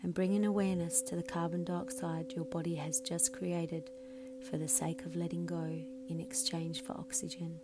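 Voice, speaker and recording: Low-pitched woman guiding meditation over background noise. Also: little pitch movement. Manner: soothing, measured, with phrases often trailing into breathy softness. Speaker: guided meditation leader. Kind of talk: scripted guided meditation narration. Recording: clearly audible background hum and noise.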